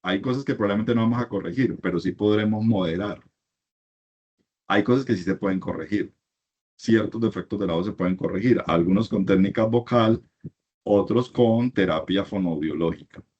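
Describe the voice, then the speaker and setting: A man's voice: on the low side, normal speed, a little mellow, husky, lo-fi sound. A middle-aged man from Colombia giving a university class lecture, emphatic and repeating his points in lists.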